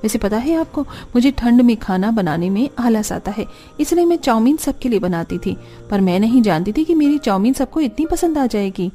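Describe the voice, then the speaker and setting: A woman's voice: fairly high pitch, lively intonation, moderate pace, mellow, natural voice. A woman playing a helpful, patient young daughter-in-law, explaining things reassuringly in a Hindi cartoon story, with music underneath.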